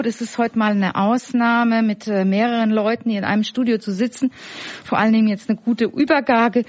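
Woman radio host, slightly high voice, halting casual moderation, muffled studio recording. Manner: hesitant, many fillers. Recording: dull lo-fi radio sound.

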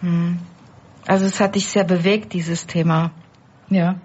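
A woman's voice: on the low side, little pitch movement, unhurried, a little mellow, slight background noise. A woman hosting a community radio show in a studio, talking casually with many fillers. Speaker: radio host. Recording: dull lo-fi radio sound.

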